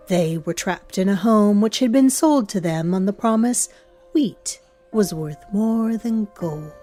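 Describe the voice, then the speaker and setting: A woman playing a knowing, darkly wry storyteller, narrating an audio drama in a measured, ominous way and addressing the listener directly. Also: lowish voice, mellow. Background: music.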